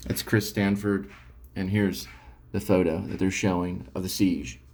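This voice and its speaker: A man reading news aloud and chatting casually about it, in a halting, rambling way with stumbles over words. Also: low voice, level tone, darkish tone, slight background noise. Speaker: amateur reader and host reading news articles. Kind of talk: casual talk mixed with read-aloud news.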